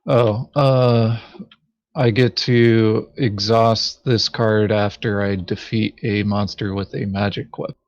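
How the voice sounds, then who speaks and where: A man's voice: fairly low pitch, little pitch movement, slow, no room sound. A man talking casually and matter-of-factly while playing a tabletop game. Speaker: board game player. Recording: dull lo-fi sound.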